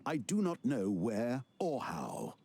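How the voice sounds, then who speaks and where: An actor playing an older, weary male wizard mentor in a video game cutscene, speaking in a measured, solemn way with a dry teasing edge. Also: medium pitch, wildly swooping pitch, gravelly, darkish tone, slight background noise, full character voice. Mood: grave. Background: game score music.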